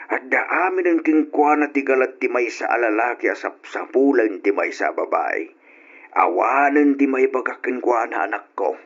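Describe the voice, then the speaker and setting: A man playing a kindly, pious older father who dotes on his daughter, spoken gently and emphatically as in old Ilocano radio drama. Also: mid-range voice, animated, normal speed. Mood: approving. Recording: dull, lo-fi old radio recording.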